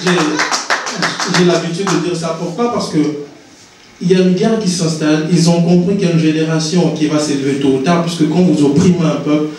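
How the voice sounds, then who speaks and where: A man's voice: fairly high pitch, normal speed, a little mellow, slight background noise. Earnest man speaking in an echoey hall. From Congo. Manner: earnest, repeats and restarts words. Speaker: invited speaker, author. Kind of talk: impassioned public talk. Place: conference hall. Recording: echoey hall, distant mic.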